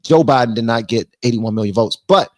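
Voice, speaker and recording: An animated man hosts a political livestream, blunt and slangy, now and then slipping into mocking voices. His voice sits at an ordinary pitch and sounds dry and close. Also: brisk, no echo. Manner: animated, drops into mock voices. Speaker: livestream host. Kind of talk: political commentary chat.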